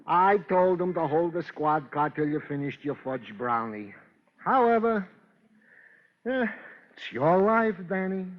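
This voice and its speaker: A man's voice: on the high side, expressive, unhurried. A man playing a fussy, long-winded police sergeant in an old radio crime drama, with stilted, formal report phrasing. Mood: earnest, wry. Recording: dull vintage radio recording.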